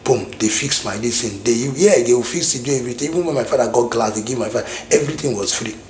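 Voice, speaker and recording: A man's voice: mid-range voice, fast talker, darkish tone, echoey room, slight background noise. A middle-aged man from Nigeria with a Nigerian English accent, reminiscing in casual talk, rambling with many restarts and repeated phrases. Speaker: vlogger.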